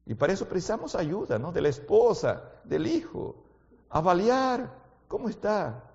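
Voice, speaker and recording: A man preaching a sermon in a language that is not his first one, as his native Portuguese slips in. He shifts between quiet, intimate talk and loud, fervent exhortation, and keeps asking his listeners questions. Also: sits high, sing-song, normal speed, gravelly, big-room sound, lo-fi sound. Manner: asks the audience frequent questions.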